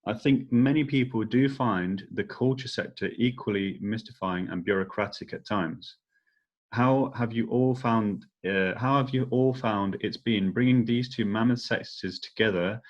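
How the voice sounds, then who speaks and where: Muffled, lowish-voiced male moderator. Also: normal speed. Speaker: panel moderator. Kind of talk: reading out discussion questions. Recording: dull, muffled low-fidelity audio.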